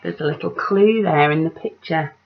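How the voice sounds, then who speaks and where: A woman reading a children's picture book aloud like a teacher, dramatic and measured, putting questions to her young listeners. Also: low voice, slight background noise. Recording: dull, lo-fi sound.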